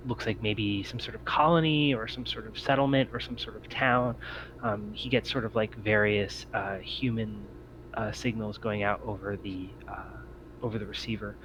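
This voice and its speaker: A man improvising narration as the game master of a tabletop roleplaying game, speaking haltingly with many ums and pauses. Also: on the low side, fairly even intonation, fairly bright tone, slight background noise, rough recording. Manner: halting, hesitant delivery full of long ums and pauses.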